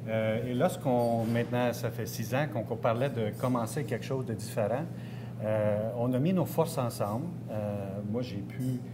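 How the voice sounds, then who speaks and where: A middle-aged man from Ontario answering interview questions conversationally, with frequent pauses. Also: medium pitch. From Ontario, Canada. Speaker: engineer, entrepreneur and interview guest. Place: restaurant. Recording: clear background ambience noise.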